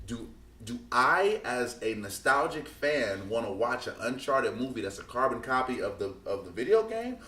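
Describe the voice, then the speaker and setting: An American man co-hosts a casual podcast in a medium-pitched voice. He sounds a little away from the mic in an echoey room, with faint noise. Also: sing-song, normal speed, bright, forceful tone, far from the mic. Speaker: podcast co-host.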